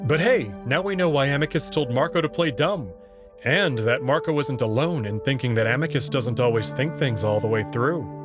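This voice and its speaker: A man reading a visual novel aloud as its narrator, in an expressive storytelling style. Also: medium pitch, moderate pace, over music. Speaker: narrator and reader.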